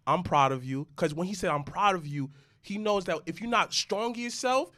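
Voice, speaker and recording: Bright, high-voiced man in his thirties. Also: animated, normal speed. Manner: insistent, slangy. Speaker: podcast co-host. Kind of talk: casual wrestling discussion.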